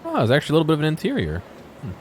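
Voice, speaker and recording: A man giving casual, off-the-cuff video game commentary, thinking aloud in halting fragments and questions to himself. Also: fairly high pitch, huge pitch swings, darkish tone, slight background noise.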